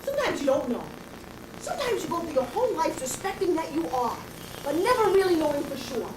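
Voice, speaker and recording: A raspy, brash bag lady who buttonholes passersby and rambles on philosophically, as an actress puts her on. Her voice sits very high and leaps wildly up and down. It is heard from a distance in an echoey room, with a steady hiss of noise behind it. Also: a little fast. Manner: confrontational, addresses passersby directly. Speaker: actress performing a stage monologue. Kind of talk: theatrical comic monologue.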